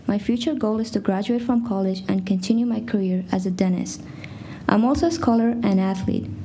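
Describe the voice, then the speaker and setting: A teenage girl whose first language is Farsi reads a prepared statement at a school board meeting. Her tone is very dark and mellow, and her delivery is careful and steady. Faint noise sits behind her. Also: mid-range voice. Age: teens. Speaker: student speaker.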